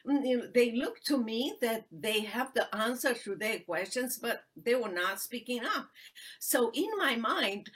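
A woman with a Romanian accent tells her story as a guest, speaking slowly and earnestly. She often pauses on fillers as she searches for words. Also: on the high side, animated, a bit bright, husky. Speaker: guest, human rights attorney. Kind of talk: interview storytelling.

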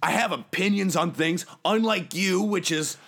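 A man puts on a swaggering, bullying know-it-all who talks down to everyone, with a gravelly, sharp edge to his voice. He sounds a little high and pushy. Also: normal speed. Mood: boastful. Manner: pompous, bullying, condescending. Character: arrogant male loudmouth who thinks he's the best. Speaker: recurring comedic guest. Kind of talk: improvised comedy bit.

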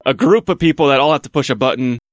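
A man's voice: mid-range voice, animated, fast talker, dry recording. A man talking casually as a panelist on a podcast. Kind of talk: casual discussion.

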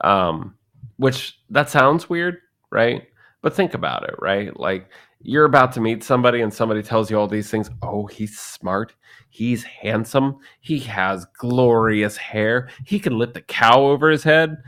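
A man's voice: medium pitch, lively intonation, measured pace, a bit bright. A man in his thirties co-hosting a casual podcast chat, rambling and joking, often acting out the people he quotes. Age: 30s. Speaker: podcast co-host.

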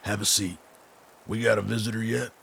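Male actor as gruff older colonel for radio drama: heavy rasp, very husky, terse and commanding. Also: lowish voice, flat delivery, a little fast, fairly bright tone, heavily acted, rough recording. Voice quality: gravelly, husky. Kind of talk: radio play acting.

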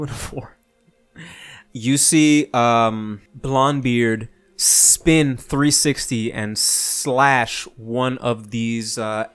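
A man's voice: mid-range voice, fairly bright tone. A man working as game master, narrating a tabletop game casually and playfully.

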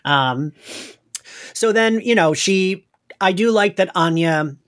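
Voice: mid-range voice, animated, takes it slowly, fairly bright tone. A podcast host talking casually and conversationally, peppering sentences with "like". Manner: conversational, heavy use of "like".

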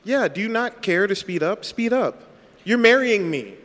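Bossy, wine-loving romantic partner acted by a man, raised pitch, gushy, improvised radio comedy in a reverberant hall. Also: lively intonation, moderate pace, a bit bright. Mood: demanding. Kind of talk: improvised parody of a radio play.

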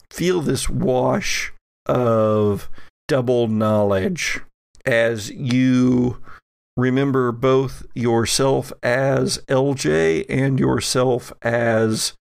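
A man acting as game master, narrating a tabletop roleplaying game in a halting, deliberate, improvised way. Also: mid-range voice, steady tone, gravelly, no echo, clean studio sound.

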